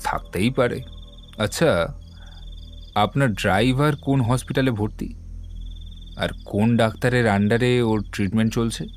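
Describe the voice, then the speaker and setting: An actor playing a composed, methodical detective, explaining things in a measured, assured way in an audio drama. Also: male voice, medium pitch, close to an everyday voice. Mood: composed, explanatory. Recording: background music under the voice.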